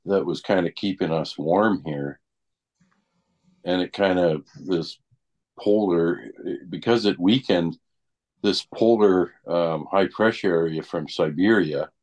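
A man in casual conversation, explaining things haltingly and repeating words as he goes. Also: low voice, darkish tone. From Canada. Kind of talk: casual group chat about weather.

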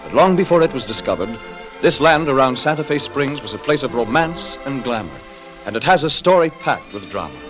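Wandering vaquero narrator, romantic and dramatic, 1940s radio western, swooping delivery over music. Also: male voice, medium pitch, very animated, a little fast, a bit bright, poor audio. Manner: theatrical, dramatic.

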